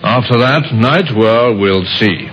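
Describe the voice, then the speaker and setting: An actor playing a shrewd, stern police inspector in an old-time radio mystery, with a dry, measured, insinuating manner. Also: male voice, mid-range voice, a bit bright. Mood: dry, suspicious. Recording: muffled, noisy vintage radio recording.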